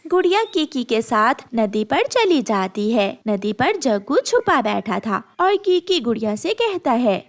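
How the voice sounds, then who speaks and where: A woman's voice: on the high side, big pitch swings, measured pace, few pauses. A woman narrating a scripted children's story as the narrator.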